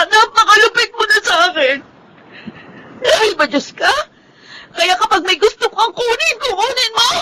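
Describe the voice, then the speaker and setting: An actress playing an impassioned, devoted young daughter in a radio drama, with a very emotional delivery. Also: female voice, very high-pitched, pushed and bright, full character voice. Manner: very emotional, breaking into wails. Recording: noisy radio drama recording.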